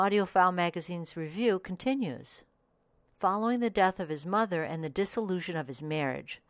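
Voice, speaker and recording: Female podcast host, lower register, scripted review narration, very dry close recording. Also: clean tone, no room sound.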